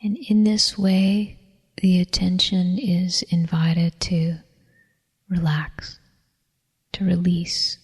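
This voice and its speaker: A woman leading a guided meditation in a soft, whispery, breathy voice, calm and soothing, with long unhurried pauses. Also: fairly low pitch. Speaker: meditation teacher. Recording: long reverberant hall echo.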